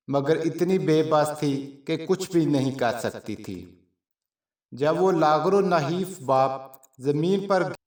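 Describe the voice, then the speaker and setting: A man narrating a scripted story in a measured, formal storytelling manner. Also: fairly high pitch, darkish tone. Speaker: channel narrator. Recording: roomy echo, distant from mic.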